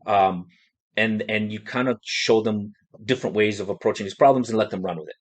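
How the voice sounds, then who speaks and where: A man's voice: fairly low pitch, brisk. A man hosting a podcast who talks in a casual, rambling way, often restarting and asking "right?". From Poland. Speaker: podcast host. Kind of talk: casual discussion.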